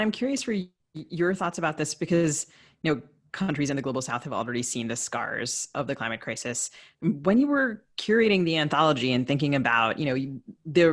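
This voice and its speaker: A woman co-hosting a podcast, asking thoughtful, discursive questions in a conversational literary interview style. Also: rumbling low.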